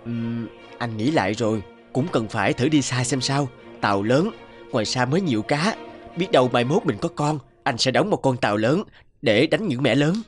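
Man voicing mid-pitched evasive young fisherman. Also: expressive, normal speed, lightly acted. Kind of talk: animated folk-tale dubbing. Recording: music under the voice.

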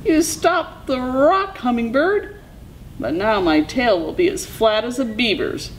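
Woman doing a high, frantic coyote. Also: animated, measured pace, slight background noise. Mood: complaining. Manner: pleading and yelping, exclaiming. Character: frantic, whiny, stubborn male coyote trickster.

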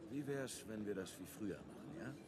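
A man plays a seasoned thief who lays out a plan in a low, conspiratorial tone. His low-pitched voice sits inside a loud, noisy game mix. It is game dub dialogue. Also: lively intonation, a little fast, close to an everyday voice. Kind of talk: German video game dub.

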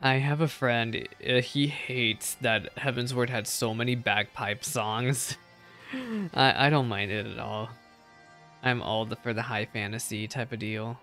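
A man's voice: mid-range voice, fairly even intonation, measured pace, a bit bright. A young adult man streams a video game with casual commentary. He talks in loose, offhand asides while music plays in the background.

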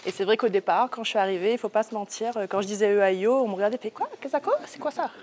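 A woman's voice: mid-range voice, animated, very fast, darkish tone, husky. A young woman in her twenties answering informally in a press interview as the president of a school. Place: indoor room at an arts school.

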